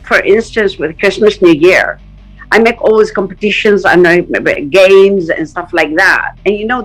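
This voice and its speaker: Middle-aged woman from Palestine, interview guest, lively storyteller who laughs a lot, sharp bright tone. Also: medium pitch, rough recording. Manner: animated, acts out quoted voices, laughs often.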